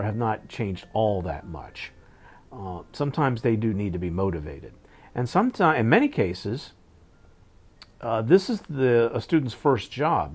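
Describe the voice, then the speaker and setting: A middle-aged man giving thoughtful interview answers in a measured, halting way, often correcting himself. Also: medium pitch, a little mellow.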